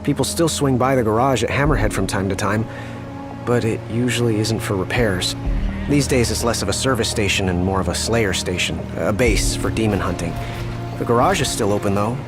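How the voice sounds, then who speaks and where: A man's voice: on the low side, brisk, natural voice. An actor playing an earnest young man, chatty and deferential toward his king, in a video game cutscene. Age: young adult. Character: earnest, respectful young driver loyal to a returning king. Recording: music under studio dialogue. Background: game music.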